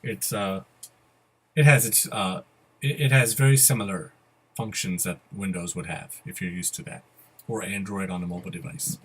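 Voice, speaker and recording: A man with a slightly husky voice presenting a step-by-step software tutorial in a casual, methodical way. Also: low voice, fairly even intonation, moderate pace. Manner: methodical, spelling out each step. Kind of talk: casual step-by-step screencast instruction. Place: voice-over to a computer screen recording.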